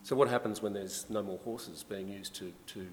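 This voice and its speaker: A man's voice: fairly low pitch, normal speed. A man, a journalist, talking casually on a discussion panel with many fillers. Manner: relaxed, conversational, frequent fillers. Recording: long hall reverb, faint background noise.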